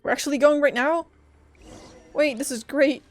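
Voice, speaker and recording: A woman streaming a game, reacting casually with expressive, exclamatory commentary. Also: high voice, moderate pace. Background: game music.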